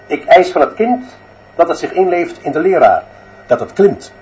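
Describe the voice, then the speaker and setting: A man's voice: fairly high pitch, expressive, moderate pace, dark tone, highs cut off, natural voice. A man playing a dictatorial headmaster who declaims his demands for iron discipline in a radio drama. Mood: severe, declaiming. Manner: declamatory, emphatic repeated demands. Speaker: actor in a radio play. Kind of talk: Dutch radio drama monologue.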